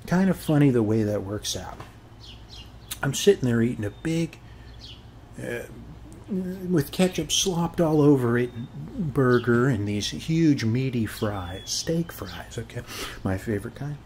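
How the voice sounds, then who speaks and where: Slow, rambling friendly neighbor played by a man. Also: medium pitch, animated, a little mellow, slight background noise. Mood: chatty, friendly. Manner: rambling, hesitant, folksy, often adding "okay?". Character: friendly, folksy, rambling middle-aged suburban neighbor man. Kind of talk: ASMR roleplay, soft-spoken one-sided chat.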